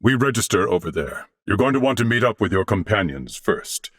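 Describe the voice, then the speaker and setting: A man voicing a courteous older alien warrior-scholar in a video game, speaking formally and eloquently with measured diction. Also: fairly low pitch, big pitch swings, fairly bright tone, dry recording, studio quality. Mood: composed, commanding. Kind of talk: video game character voice lines.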